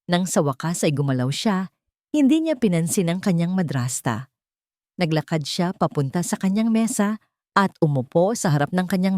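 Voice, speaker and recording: A woman's voice: fairly low pitch, darkish tone, dry recording, clean studio sound. A woman reading a scripted, dramatic romance story as its narrator.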